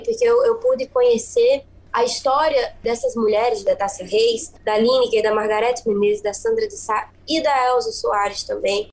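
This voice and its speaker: A woman's voice: a little high, darkish tone, low-quality recording. A young woman with a Paraíba northeastern Brazilian accent, a singer talking earnestly in a radio podcast interview, often repeating words for emphasis. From Northeast Brazil (Bahia-born, raised in Paraíba). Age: young adult. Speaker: singer-songwriter guest.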